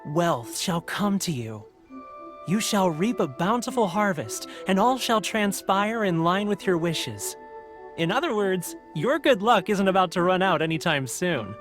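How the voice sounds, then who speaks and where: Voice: mid-range voice, expressive, measured pace, soft background music, lightly acted. A performer voicing a genial, courteous fortune-slip stall keeper in a video game, with a showy, welcoming manner. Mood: congratulatory.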